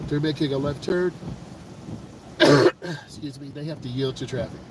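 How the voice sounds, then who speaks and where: A man's voice: on the high side, far from the mic, heavy background noise. Stuffy-nosed man teaching from the driver's seat. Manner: calm, unhurried, explanatory. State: stuffed up with a cold. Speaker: driving instructor. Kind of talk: narrating while driving. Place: inside a car in traffic. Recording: music loud behind the voice.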